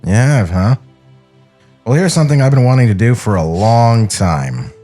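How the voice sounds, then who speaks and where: A man's voice: mid-range voice, lively intonation, moderate pace, warm tone, faint music behind, close to an everyday voice. A man playing a blunt, disbelieving studio worker who speaks in challenging, incredulous questions in a comic script read.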